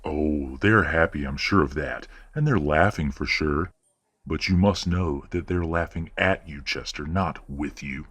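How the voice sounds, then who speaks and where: A man playing a condescending, manipulative werewolf father in an audio roleplay, speaking in a measured, patronizing and coldly genteel way. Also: a bit bright, full character voice. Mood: cold contempt. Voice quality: deep, husky. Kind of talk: audio roleplay drama acting.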